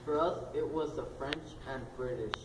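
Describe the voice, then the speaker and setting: Man as a stately early president, plain recital, heard far off in an echoey room with loud noise. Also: mid-range voice, moderate pace, natural voice. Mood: earnest. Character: dignified founding president, older man.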